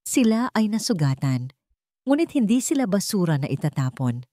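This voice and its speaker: A woman's voice: medium pitch, sing-song, moderate pace, dark tone, no room sound, clean studio sound, close to an everyday voice. A woman voicing a stern, solemn king in a dramatic story, speaking with cold, grave authority. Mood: solemn, reflective. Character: stern, solemn male king passing judgment.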